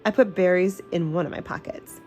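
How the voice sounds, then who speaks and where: A woman reading a children's storybook aloud in an expressive, animated, emphatic narration. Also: on the low side, fairly quick, faint music behind.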